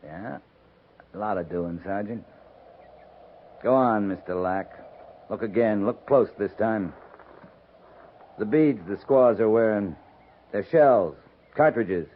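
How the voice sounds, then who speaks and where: An actor playing a shrewd, stern frontier cavalry captain in a 1950s radio western, speaking in blunt, clipped commands. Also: male voice, mid-range voice. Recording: muffled, faintly noisy 1950s radio recording.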